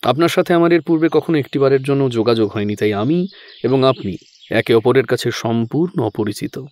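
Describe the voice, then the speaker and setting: Man playing a courteous, quick-talking holy man. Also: medium pitch, expressive, darkish tone, lightly acted. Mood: polite, reassuring. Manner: polite, formal, earnest. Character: courteous, reassuring younger male tantric holy man.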